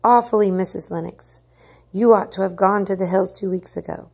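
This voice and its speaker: A boyish army officer from a storybook, played by a woman reader, grave and trembling. The tone is dark and husky. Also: mid-range voice, fairly even intonation, moderate pace, voice pushed up. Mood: grave, shaken.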